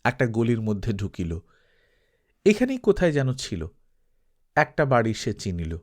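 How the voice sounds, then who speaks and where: A man narrating a literary story from a script as an audiobook reader, in an expressive storytelling style. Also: medium pitch, unhurried.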